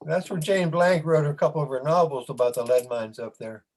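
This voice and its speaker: A man's voice: fairly high pitch, fairly even intonation, brisk, a little mellow. A man chipping into a casual group discussion on a video call. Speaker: panel participant. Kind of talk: casual discussion, spelling out names.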